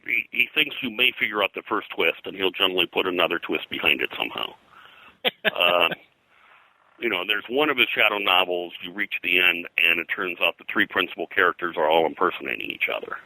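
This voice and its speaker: A man talking informally as an interview guest and historian, rambling and haltingly, often repeating words. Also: low-pitched, lively intonation, pushed and bright, no room sound, low-quality recording. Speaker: guest, pop culture historian. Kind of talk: informal interview talk about pulp fiction history.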